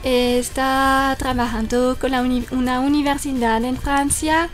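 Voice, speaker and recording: French-accented woman, a bit high-pitched. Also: little pitch movement, a little slow, soft background music. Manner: speaks in long explanations with fillers like "mira" and "entonces". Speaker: guest from a French cultural institute. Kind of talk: interview answers.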